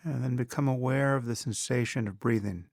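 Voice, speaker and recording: A man leading a guided meditation as a teacher, in a measured, instructional manner with pauses between phrases. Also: mid-range voice, vocal fry, a little mellow, no room sound, clean studio sound.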